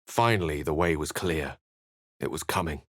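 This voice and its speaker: Erudite wizard companion for a fantasy game: deep register, measured theatrical diction, wry but earnest. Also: male voice, no room sound, studio quality. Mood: grave storytelling. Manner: eloquent, measured, theatrical phrasing. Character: courteous, erudite human male wizard adventurer, wry and earnest. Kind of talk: video game character lines and narration.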